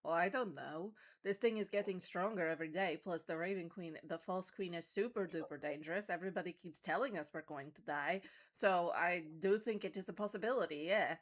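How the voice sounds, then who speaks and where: A woman's voice: lowish voice, fairly quick, hardly any pauses. A woman playing a tabletop roleplaying game, improvising her character's dialogue in a dry, matter-of-fact, casual way.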